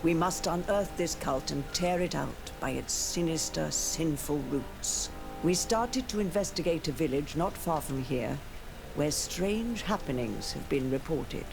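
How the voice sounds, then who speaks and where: A solemn older female cardinal from a fantasy game, with a creaky voice. She speaks with grave, zealous ceremony over clear background music. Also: low-pitched, expressive, unhurried, exaggerated voice. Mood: grave, fervent. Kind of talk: video game dialogue acting. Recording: game dialogue under music.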